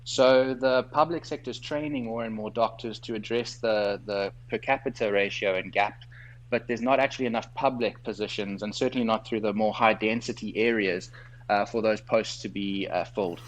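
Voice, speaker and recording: A man with a South African accent, a guest entrepreneur who explains things in a clear, structured way in a conversational interview, tired late at night. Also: lowish voice, moderate pace, slight background noise, poor audio.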